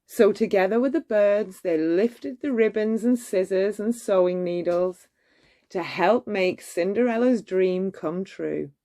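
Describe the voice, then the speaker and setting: A woman narrating a children's bedtime story in a measured, expressive storytelling manner. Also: mid-range voice. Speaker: storybook reader.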